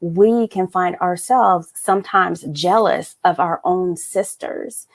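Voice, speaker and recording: Low-voiced woman author, direct. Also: slow. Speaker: author, panel guest, military veteran. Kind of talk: panel discussion over video call.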